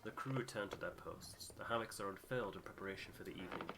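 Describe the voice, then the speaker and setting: A man's voice: sits low, quick pace, creaky, background noise. A man hosting a game playthrough, narrating scenes and explaining the rules.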